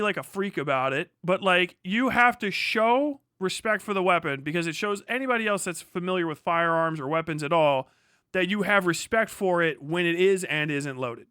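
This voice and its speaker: An American man hosts a livestream reaction with a high, sharp-edged voice. He talks casually, swears freely and leans on sarcasm and disbelief. The sound is very dry, with no room to it. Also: smooth, dry recording. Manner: sarcastic, profane, incredulous. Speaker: livestream host reacting to a video.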